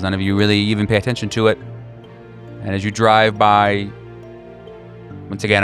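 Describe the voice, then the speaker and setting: Man, low voice, horror game master, ornate dramatic narration, faint music behind. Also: fairly bright tone. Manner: ornate, literary phrasing.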